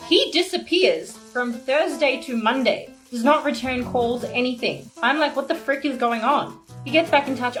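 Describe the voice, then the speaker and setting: A young woman telling a personal story in a casual, expressive, slangy way. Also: fairly high pitch. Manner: expressive, acts out dialogue, slangy.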